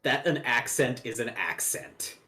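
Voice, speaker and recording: Male stream guest, medium pitch, emphatic casual talk, faint music behind. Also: lively intonation, measured pace, sharp tone. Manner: emphatic, opinionated. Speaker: guest on a group livestream. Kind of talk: casual chat.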